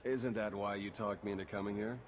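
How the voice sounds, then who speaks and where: Actor as an easygoing, low-voiced spy. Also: male voice, steady tone, a little fast, background noise, natural voice. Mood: dry, friendly. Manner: casual, dry.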